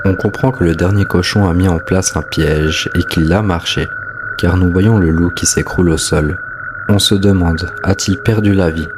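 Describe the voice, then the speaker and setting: A man's voice: sits low, fairly even intonation, moderate pace, background noise. A man hosting and narrating a scripted explainer of a horror story. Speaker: host, narrator. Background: music.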